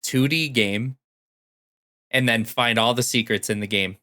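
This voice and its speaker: A man's voice: mid-range voice, unhurried, sharp tone. A man co-hosting a casual gaming podcast, chiming in informally and agreeably. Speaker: podcast co-host.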